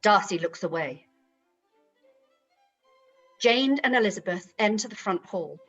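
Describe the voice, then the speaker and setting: Husky woman narrator, slightly dull sound. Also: mid-range voice, expressive, unhurried, fairly bright tone, soft background music. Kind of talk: scripted narration of stage directions in a live-read radio play.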